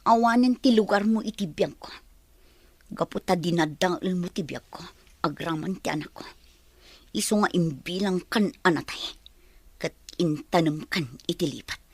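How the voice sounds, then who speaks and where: Wronged mother, husky mid-range voice, bitter, defiant, resolute, radio drama, close dry sound. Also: on the low side, normal speed, no echo.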